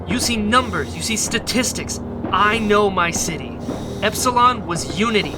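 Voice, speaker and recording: An actress playing a determined young rebel leader, earnest and defiant. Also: female voice, mid-range voice, moderate pace, noisy background, close to an everyday voice. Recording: music score behind.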